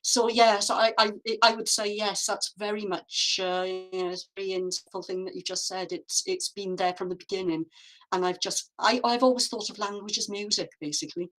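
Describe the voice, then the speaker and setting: A woman from Wales, a guest artist, answering interview questions in a reflective, measured way with frequent fillers, over a video call. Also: medium pitch, fairly even intonation, a bit bright, rough recording.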